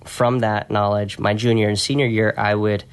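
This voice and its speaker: A soft-spoken man talking in an easygoing, reflective way as a podcast guest, telling stories about his work in a conversational interview. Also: fairly low pitch, level tone, normal speed, clear, resonant tone. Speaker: podcast guest, strength and conditioning coach.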